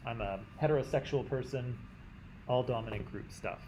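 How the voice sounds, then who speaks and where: A Canadian man teaching a class in a conversational way, often checking in with "right?" and clearing his throat. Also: mid-range voice, a little slow, darkish tone, slight background noise, low-quality recording. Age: adult. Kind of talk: classroom lecture.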